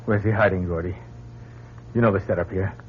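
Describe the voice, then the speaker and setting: An actor playing a blunt, wisecracking, skeptical astronaut in a vintage radio drama, with a gravelly, husky voice. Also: male voice, deep voice, steady tone, brisk, a little hiss or hum, lightly acted. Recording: thin, phone-like old radio recording.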